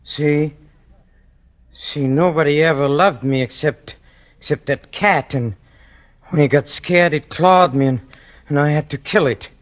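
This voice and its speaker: An actor playing a lonely, unhinged killer in a vintage radio thriller, speaking haltingly and obsessively, repeating himself. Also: male voice, a little high, lightly acted. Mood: weary, confessing. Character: lonely, unhinged young male killer, brooding and self-pitying. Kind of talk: 1940s-50s radio thriller acting. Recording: dull vintage radio recording.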